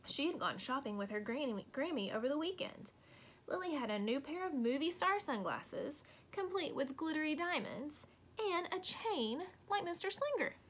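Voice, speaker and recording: A woman reading a children's storybook aloud expressively, with deliberate dramatic emphasis. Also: high voice, expressive, a bit bright. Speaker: storybook reader.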